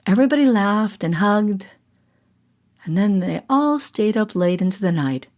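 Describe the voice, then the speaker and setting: A woman narrating a children's story in a theatrical, deliberate way, with music behind her. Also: mid-range voice, expressive, darkish tone. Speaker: storyteller and voice artist.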